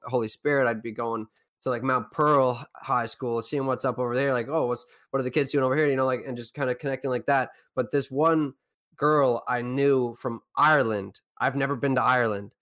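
A young man from Newfoundland, Canada, with a Newfoundland accent, co-hosting a casual podcast chat and telling rambling, animated stories. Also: medium pitch, a little fast. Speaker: podcast co-host.

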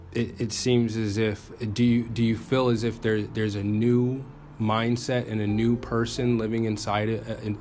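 A man's voice: lowish voice, slight background noise. A man hosting a podcast interview, asking questions hesitantly and often restarting with uh.

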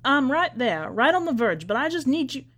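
A woman's voice: sits high, sing-song, normal speed, fairly bright tone, voice pushed up, heavily acted. Woman playing a nosy reporter guy in a story, terse, suspicious, keeps pressing. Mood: urgent. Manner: terse, probing. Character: dogged, suspicious male reporter pressing for answers. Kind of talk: acted storybook dialogue.

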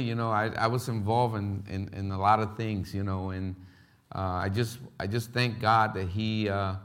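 Middle-aged man from Puerto Rico, Philadelphia accent; missionary testimony in church, halting, lots of fillers, mid pitch. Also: a bit bright. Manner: halting, many fillers and restarts.

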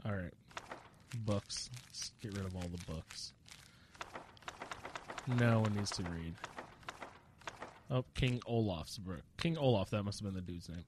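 A man's voice: on the low side, unhurried, pauses often, noisy background. A man giving casual, rambling gameplay commentary, self-deprecating and jokey, sniffling a little with a slight cold. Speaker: gamer narrating own playthrough.

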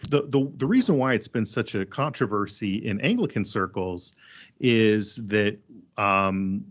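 A man's voice: lowish voice, fairly bright tone, lo-fi sound. A man who is a priest, speaking in a thoughtful podcast discussion and explaining things at length.

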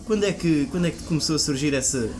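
Playful young Alentejo-accented host. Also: male voice, fairly high pitch, fast talker. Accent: Alentejo Portuguese. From Alentejo, southern Portugal. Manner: teasing, playful. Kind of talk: casual chat. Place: student radio set up in a café.

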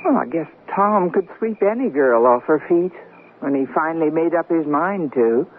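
A woman's voice: sits low, expressive, normal speed. A woman playing a wry, wisecracking middle-aged newlywed in a 1970s radio comedy drama. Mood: wry, bantering. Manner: dry, wisecracking. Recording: noisy, narrow-band 1970s radio recording.

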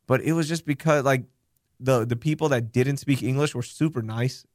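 A man's voice: mid-range voice, moderate pace, no room sound. Candid man telling stories, dry sound. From Pakistan. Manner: candid, animated storytelling with profanity. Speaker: podcast host. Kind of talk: casual chat.

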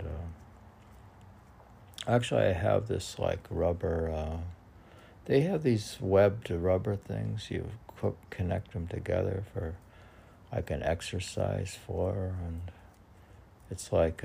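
A man in a rambling solo monologue, musing and questioning himself haltingly as he shares aphoristic lines of his own poetry. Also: low-pitched, creaky, dark tone, a little hiss or hum. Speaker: poet reading and commenting on own writing.

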